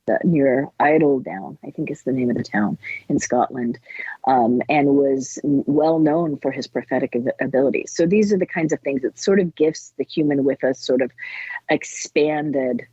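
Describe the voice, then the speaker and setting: Woman guest on an interview, bookish but chatty, bit raspy, dull dry audio. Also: rumbling low, normal speed, mellow, no room sound. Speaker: guest author. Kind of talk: interview conversation on spiritual folklore.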